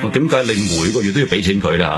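An actor playing a laid-back, teasing detective partner in a radio drama, slangy and offhand, with a Hong Kong Cantonese accent. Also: male voice, fairly low pitch, lively intonation, rapid-fire, music in the background, close to an everyday voice. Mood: offhand, intrigued.

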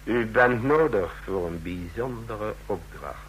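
An actor playing the curt, authoritative chief of a fascist secret police in a vintage radio play. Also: male voice, lowish voice, lively intonation, slow, a bit bright, rough recording. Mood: cool, authoritative. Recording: old radio recording, hum and hiss.

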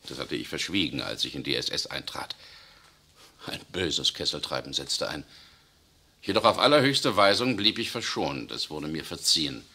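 A man acting in a 1960s radio play as a tense German SS officer who secretly resists, speaking urgently, swinging between outbursts and hushed confession. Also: deep voice, full character voice. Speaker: radio drama actor.

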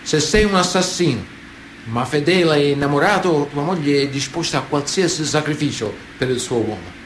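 A man's voice: fairly high pitch, normal speed, slight background noise, natural voice. A man acting a Sicilian mafia man of honour in a radio theatre monologue, earnest and matter-of-fact, with a Sicilian accent. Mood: knowing, confiding.

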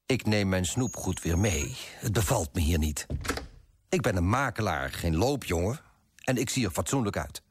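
An actor playing a fussy, self-righteous coffee broker in a radio play, pompous and self-important, with a husky voice. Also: male voice, on the low side, a little hiss or hum. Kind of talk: first-person radio drama narration and dialogue.

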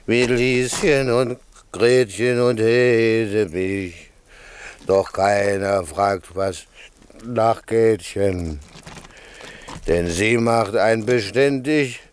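A man's voice: fairly low pitch, slight background noise. A man playing a drunken, blustering shipwrecked servant in a classic radio play, boozy, drawling and bossy.

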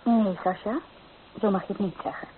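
Hissy radio play, actress as earnest young bride-to-be. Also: female voice, mid-range voice, steady tone, moderate pace, lightly acted. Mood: gently reproving. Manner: earnest, gently reproving. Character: earnest, proper young bride-to-be, loyal and gently reproving. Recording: tinny, hissy old radio recording.

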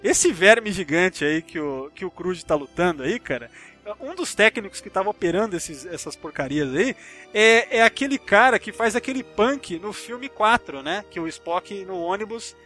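A Brazilian man with a very lively, high voice hosts a casual film discussion. He rambles in slangy, sweary speech with a bright, forward tone. A little music plays behind him. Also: moderate pace. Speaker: podcast host. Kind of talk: casual film discussion and anecdotes among friends.

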